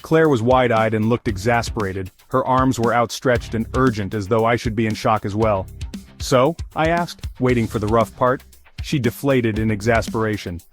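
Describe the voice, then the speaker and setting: A man's voice: on the low side, moderate pace. A man narrating a first-person comedic fantasy story as a scripted audio series. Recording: music behind the voice.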